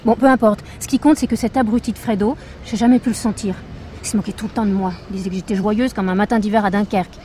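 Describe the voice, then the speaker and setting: Haughty, fussy female witness, played by an actress: condescending, prim, chatty, dark mellow timbre, outdoor traffic ambience behind. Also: medium pitch, steady tone, quick pace, lightly acted. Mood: complaining, indignant. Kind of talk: naturalistic French fiction podcast acting.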